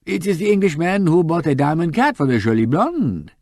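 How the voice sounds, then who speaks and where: A man's voice: a little high, very animated, brisk, a little mellow, dry recording, pitched above the performer's own voice. An eager young French shop girl, put on by a male narrator talks in a raised, lilting voice. Her French accent is strong and her tone swings widely. The sound is close and dry. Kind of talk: audiobook character voice.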